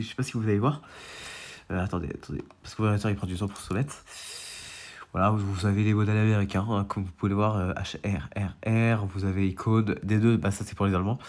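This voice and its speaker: Young dude with a head cold, nasal and stuffed up, low-ish voice, keeps restarting. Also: male voice, fast talker. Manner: rambling, repetitive, full of restarts; grumbling about being sick. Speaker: storm chaser and weather vlogger. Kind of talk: casual screen-share walkthrough.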